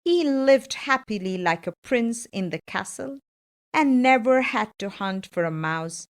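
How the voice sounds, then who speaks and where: A woman's voice: a little high, lively intonation, unhurried. A woman narrating a children's fairytale from a script, as a storyteller.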